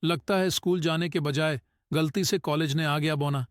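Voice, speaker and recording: Male actor for young campus bully, slightly high voice, mocking, menacing, scripted story dialogue. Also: fairly even intonation, moderate pace, no echo, studio quality, lightly acted. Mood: mock concern. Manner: taunting, sneering. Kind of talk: scripted audio story acting.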